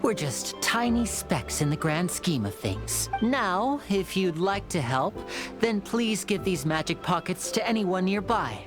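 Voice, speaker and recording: A man's voice: high voice, sing-song, measured pace. An actor playing a polite, theatrical young male magician in an anime video game. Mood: knowing, charming. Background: game music.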